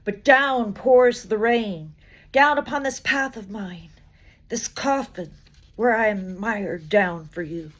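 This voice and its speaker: A woman reciting poetry dramatically, with an expressive, measured delivery and deliberate pauses between phrases. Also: mid-range voice. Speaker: poetry reader.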